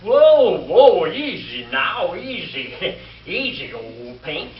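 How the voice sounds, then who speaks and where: A man's voice: high voice, very animated, extremely slow, highs cut off, exaggerated voice. A man playing a goofy, boastful cowboy with a stammering, folksy manner in 1940s radio comedy style. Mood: folksy, cheerful. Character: goofy, boastful cow-riding cowboy sidekick. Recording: echoey, distant live stage recording.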